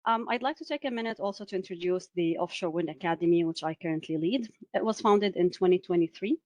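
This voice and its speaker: A woman from Syria in her forties gives a webinar talk over a video call. Her voice sits a little low, and the sound is dry and close, with no room echo. She speaks in a measured way, with frequent "uh" and "um" fillers. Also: darkish tone, dull audio. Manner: measured, many "uh" and "um" fillers, asks for next slide. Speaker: webinar presenter. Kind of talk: presenting a webinar.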